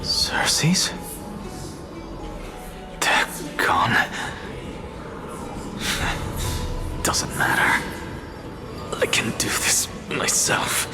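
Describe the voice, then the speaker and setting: An actor playing a defiant, curious scholar who muses sardonically to himself in a dramatic video game style. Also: male voice, lowish voice, wildly swooping pitch, drawn-out words, long pauses, in a whisper, heavily acted. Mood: puzzled, determined. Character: defiant, curious scholar man journeying through land of the dead. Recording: game dialogue over prominent music.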